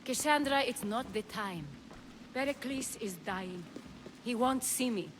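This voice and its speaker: A woman playing an elegant, worried, commanding Athenian noblewoman in a video game, measured and grave. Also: a little high, lively intonation, background noise. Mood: worried, urgent. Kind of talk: video game cutscene acting.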